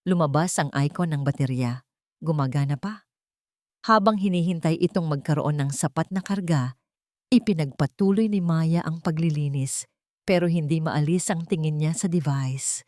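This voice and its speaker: Female narrator for audio drama. Lowish register, animated intonation, suspenseful phrasing, dry studio-like sound. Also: no echo. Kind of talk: dramatic scripted story narration.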